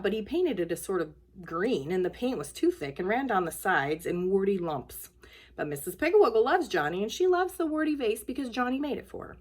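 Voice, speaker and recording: A woman storyteller reading a children's book aloud in an expressive, emphatic way. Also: medium pitch, husky.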